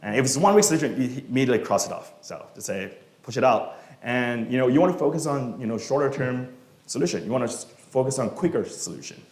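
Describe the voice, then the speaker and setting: A man from Malaysia, a software engineer, answering questions at a meetup in a relaxed, casual way with many fillers, often trailing off quietly. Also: mid-range voice, fast talker. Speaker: guest speaker, software engineer. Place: meetup event room. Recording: echoey room.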